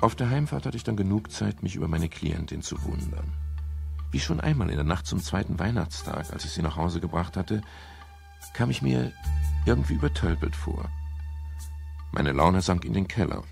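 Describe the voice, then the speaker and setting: An actor playing a world-weary private detective in a 1970s German radio crime drama, dry, sardonic and understated. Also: male voice, low voice, huge pitch swings, normal speed, creaky, darkish tone, soft background music, heavily acted. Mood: sullen narration. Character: world-weary male private detective, dryly wry, narrating his case. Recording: faint hiss of 1970s radio production.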